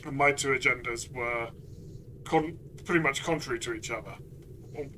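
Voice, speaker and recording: A man discussing a game conversationally as a player and reviewer, reflective and pausing mid-phrase. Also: medium pitch, sharp tone, slight background noise.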